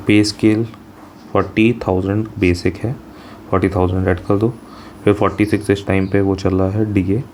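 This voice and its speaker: A man's voice: deep voice, vocal fry, darkish tone, a little hiss or hum. An Indian man teaching in an informal explainer style, mixing Hindi and English and often adding short check-in tags. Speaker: exam coaching teacher. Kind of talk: informal explainer of a job notification.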